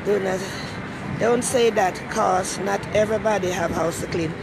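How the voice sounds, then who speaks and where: A woman's voice: medium pitch, moderate pace, gravelly. Rambling Jamaican woman host amid traffic. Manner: rambling, chatty, greets viewers by name. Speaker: talk show host. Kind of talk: casual live-stream chat. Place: busy city station area, in and out of doors. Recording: loud street and traffic noise.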